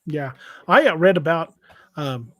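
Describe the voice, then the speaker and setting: A man casually hosting a livestream, reading out comments and chatting in a halting, hesitant way. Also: fairly high pitch, expressive, a bit bright, dry recording, studio quality. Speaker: podcast host and novelist. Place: indoors on an internet livestream video call.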